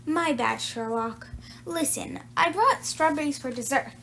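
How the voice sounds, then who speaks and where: Storybook mom read by a woman, casual, brisk, offhand, fairly high voice. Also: vocal fry, fairly bright tone, slight background noise, full character voice. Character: casual, brisk mother of a young girl.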